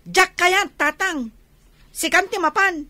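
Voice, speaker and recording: High-pitched, insolent young daughter. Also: female voice, animated, slow pace, pushed and bright, no echo, full character voice. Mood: defiant. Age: young adult. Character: defiant, sulky young daughter who shirks chores and parties. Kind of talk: radio drama acting.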